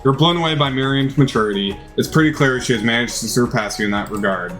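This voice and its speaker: A man's voice: mid-range voice, fast, fairly bright tone. A man reading out a video game's story dramatically as he plays, stumbling now and then. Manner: theatrical, with occasional stumbles. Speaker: video game player narrating a playthrough. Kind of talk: dramatized reading of game text. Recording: music behind the voice. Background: game music.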